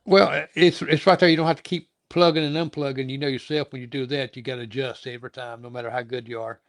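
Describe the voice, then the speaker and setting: A man's voice: mid-range voice, fairly even intonation, fast talker, dry recording. A male talk show host chats casually with a guest in a folksy, meandering style. He circles back and repeats phrases as he goes, in a dry, close-sounding recording. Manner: rambling, folksy, repeats himself. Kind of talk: casual interview chat.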